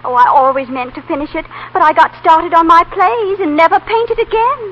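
A woman's voice: very high voice, brisk. A woman playing a cheerful, scatterbrained artistic mother, airy and chirpy, in 1930s radio acting style. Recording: muffled, hissy 1930s radio broadcast.

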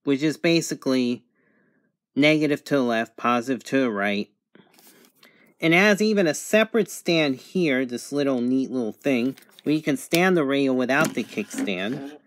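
A man's voice: mid-range voice, expressive, moderate pace, no echo. A man giving a casual, plain, step-by-step product demonstration, as a reviewer recording indoors.

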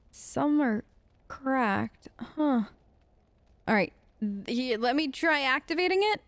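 A woman's voice: high voice, no echo. A woman doing playful, chatty role-play commentary over a game, talking to the characters as she plays. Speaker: gamer playing in character.

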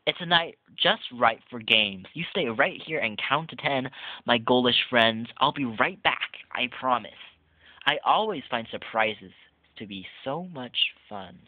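A man's voice: mid-range voice, expressive, unhurried, no room sound. A man reading the lines of a playful, fearless little girl in a Halloween storybook, chirpy and coaxing. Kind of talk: storybook read-aloud. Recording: tinny phone-like lo-fi audio.

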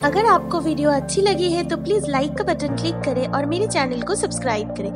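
A woman hosting a home cooking channel, talking viewers through a recipe step by step in a friendly, instructive voice-over. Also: high-pitched. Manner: friendly, instructive, repeats steps clearly.

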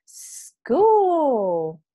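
Woman playing a sensitive storybook mouse girl, childlike, earnest, lifted pitch, lively intonation. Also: extremely slow, exaggerated voice. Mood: excited.

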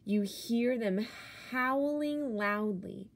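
A woman who is a teacher, reading a picture book aloud to children with expressive, theatrical emphasis on key words. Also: on the high side, drawn-out words, gravelly, background noise.

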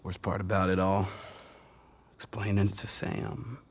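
An actor with an American accent playing an excitable, friendly, protective young man in a video game drama. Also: sits low, a little slow, breathy edge, exaggerated voice. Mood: subdued. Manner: animated, informal. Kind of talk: naturalistic video game cutscene acting.

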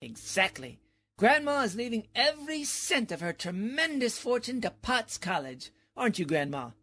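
A performer voices a flattering, theatrical male professor in an old-time radio comedy. The voice is husky and very animated. He is eager and a little bumbling, given to hesitant filler words. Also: mid-range voice, moderate pace, exaggerated voice. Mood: triumphant.

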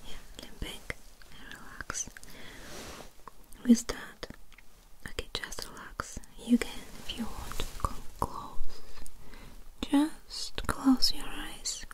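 A young woman doing a soft-spoken, whispered ASMR doctor roleplay in short, gentle, reassuring phrases, close to the microphone. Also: high voice, steady tone, takes it slowly, pauses often, low-quality recording. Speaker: ASMR performer playing a doctor. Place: small dim indoor room.

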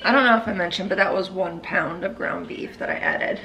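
A woman in her thirties chatting casually about what she's cooking in her home kitchen, relaxed and self-deprecating. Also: on the low side, brisk, muffled recording, over music. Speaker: home vlogger. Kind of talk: casual voice-over chat while cooking.

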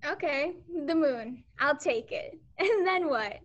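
A high school actress plays a sweet young American woman in a radio drama. Her voice is very high, and her delivery is playful and teasing. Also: lively intonation, takes it slowly, lo-fi sound. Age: teens. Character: sweet, teasing eighteen-year-old small-town girl. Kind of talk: stage radio play acting.

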